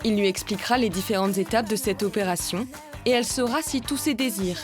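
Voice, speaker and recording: Female voice-over narrator, measured read, mid-pitched, over backing music. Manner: even, measured reading. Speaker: documentary narrator. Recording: music playing underneath.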